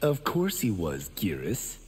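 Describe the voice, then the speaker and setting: An actor voicing a cocky, ambitious young fighter in a video game, brash and casual. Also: male voice, low-pitched, wildly swooping pitch, slow, a little hiss or hum, full character voice.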